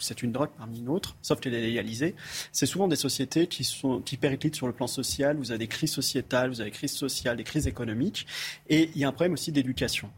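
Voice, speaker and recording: A man's voice: mid-range voice, slightly rough. A man speaking earnestly as a studio debate guest, explaining his view point by point. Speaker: panel guest.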